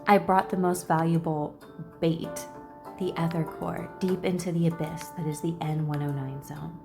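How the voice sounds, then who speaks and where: A woman doing casual game commentary and reading lines aloud, expressive, blunt and sassy. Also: sits low, normal speed, creaky, over music. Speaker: video creator reacting to a game.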